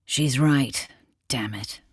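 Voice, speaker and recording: A woman's voice: extremely low pitch, slow, slightly rough, dry recording, exaggerated voice. An actress playing a cantankerous, sardonic woman painter in an audio drama, with a dry manner. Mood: grudging, wry.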